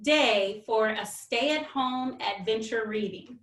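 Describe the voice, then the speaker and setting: A woman author speaking expressively and deliberately, as in a storybook read-aloud for children. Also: a little high, fairly bright tone. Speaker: children's author, guest reader. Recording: roomy, distant microphone.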